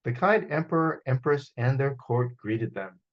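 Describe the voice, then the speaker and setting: A man reading a children's picture book aloud as a storyteller, in an expressive manner, over a video call. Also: mid-range voice, unhurried, dull audio. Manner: expressive, acts out sound effects.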